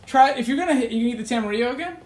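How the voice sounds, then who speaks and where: A man's voice: extremely high pitch, rapid-fire. An upbeat American man chatting casually as a guest, giving practical tips with enthusiasm. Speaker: guest, diabetes coach. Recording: echoey room with faint noise.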